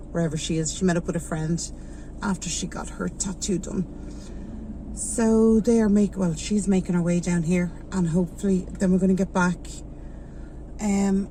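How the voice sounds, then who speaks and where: A woman's voice: on the low side, mellow, a little hiss or hum, rough recording. An Irish woman in her forties, chatting to her vlog camera from her car, a bit stuffed up from a sore throat. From Ireland. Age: 40s. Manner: chatty, rambling, loses her thread. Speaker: vlogger. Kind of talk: casual vlog chat while driving. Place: inside a car in traffic.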